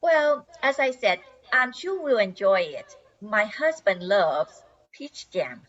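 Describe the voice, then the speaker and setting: An older woman playing a polite suburban housewife greeting neighbors in a radio comedy, expressive, on a dull recording. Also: medium pitch, takes it slowly, heavily acted. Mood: gracious. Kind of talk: old-time radio comedy acting. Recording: dull lo-fi sound.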